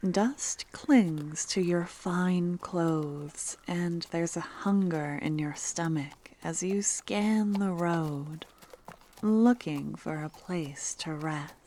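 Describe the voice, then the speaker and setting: A woman who makes ASMR roleplays, narrating a story in a hushed voice that partly drops into whispers. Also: lowish voice, extremely slow, a little hiss or hum.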